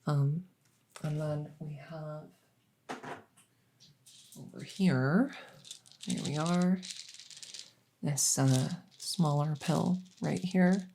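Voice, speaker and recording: A woman playing a kindly, rambling vet in an ASMR audio roleplay, explaining things softly and hesitantly with lots of reassurance. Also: low-pitched, extremely slow, gravelly, pauses often, noisy background, exaggerated voice. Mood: gentle, clinical. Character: kindly, rambling female vet for supernatural patients. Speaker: voice roleplay performer.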